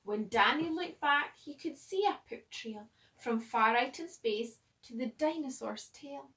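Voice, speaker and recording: A woman reading a children's picture book aloud like a teacher, expressively, with dramatic pauses and emphasis. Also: high-pitched, animated, a bit bright, recorded from a distance, rough recording. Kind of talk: animated children's storybook read-aloud.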